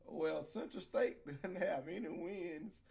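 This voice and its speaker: A man co-hosting a casual college sports chat, his voice scratchy as it recovers. He sits a bit high for a man with a gravelly edge. He is animated and opinionated, leaning on fillers as he goes. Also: slow pace. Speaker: podcast co-host.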